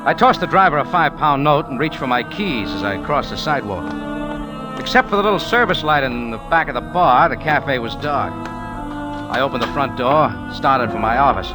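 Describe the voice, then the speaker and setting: Actor as a hard-boiled radio detective with music behind. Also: male voice, medium pitch, expressive, normal speed, natural voice. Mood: tense, narrating. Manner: clipped, dry, hard-boiled storytelling. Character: tough, wry cafe owner turned amateur sleuth, a man. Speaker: lead actor and first-person narrator in a radio drama.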